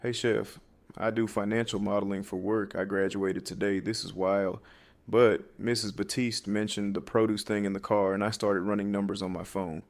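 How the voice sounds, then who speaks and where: A man's voice: lowish voice, little pitch movement, moderate pace, natural voice. A man voicing an understated, numbers-minded young male graduate in an audio story. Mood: tentative, quick.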